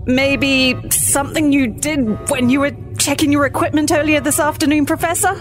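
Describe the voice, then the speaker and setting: Actress as female space expedition member, high voice, sci-fi audio drama, loud music behind. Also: steady tone, moderate pace, lightly acted. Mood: questioning. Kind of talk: science fiction audio drama acting.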